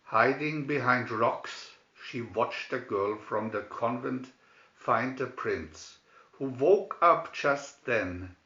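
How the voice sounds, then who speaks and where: Slow-reading man in a roomy space. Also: medium pitch, animated, sharp tone, dull audio. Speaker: storybook reader. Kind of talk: scripted fairy tale narration.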